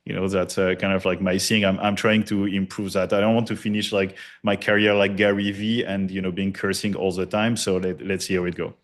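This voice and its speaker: A middle-aged man with a French accent gives a loose, energetic live presentation, full of fillers and casual cursing. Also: low-pitched, fairly quick, few pauses. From France. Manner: loose, hype-driven, heavy filler words and cursing. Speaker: conference presenter, studio founder.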